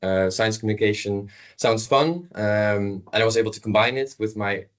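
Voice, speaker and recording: A man talks about his studies in a relaxed, casual way. His voice sits somewhat low. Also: moderate pace. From Netherlands. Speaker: graduating student.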